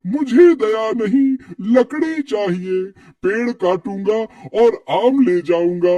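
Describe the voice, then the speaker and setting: A man's voice: high-pitched, slow, mellow, no echo, recorded from a distance, lo-fi sound. A man voicing a greedy, callous woodcutter in a cartoon, brusque in his manner. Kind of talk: cartoon voice acting.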